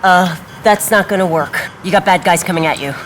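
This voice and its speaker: A woman's voice: on the low side, brisk, background noise, natural voice. An actress playing a tough, vengeful heist crew woman in a video game, with a dry, blunt, confident delivery. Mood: brisk, wry. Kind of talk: video game cutscene acting.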